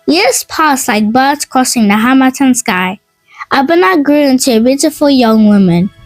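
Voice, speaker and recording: A woman narrating a scripted folk tale in a dramatic, expressive and measured storytelling manner. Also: high voice, no room sound. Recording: dry voice over music. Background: music.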